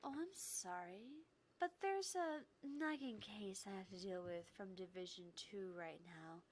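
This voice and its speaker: A woman's voice: medium pitch, animated, measured pace, slight background noise, close to an everyday voice. Woman putting on a languid, flirty female analyst.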